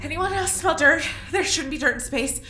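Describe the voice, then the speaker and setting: High, tense, panicky young woman. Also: moderate pace, sharp tone. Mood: panicked. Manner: tense, blunt, agitated. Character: panicky, sharp-tongued young woman trapped on spaceship. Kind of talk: audio drama acting. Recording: echoey room, faint music behind.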